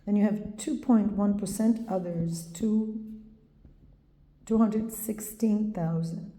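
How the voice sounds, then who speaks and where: A woman with an Israeli accent, talking casually to camera with many pauses and ums. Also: medium pitch, dark tone. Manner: slow, hesitant, many ums. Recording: echoey room with long reverb.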